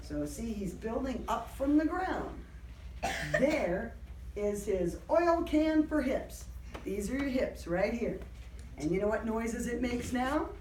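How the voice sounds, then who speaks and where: Higher-pitched woman reading aloud, slow and lively. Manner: animated, encouraging, acts out sound effects; simple explanations and questions for small children. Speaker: teacher reading aloud to a kindergarten class. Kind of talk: interactive picture-book read-aloud to young children. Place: classroom with young children. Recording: roomy, distant mic, faint background noise.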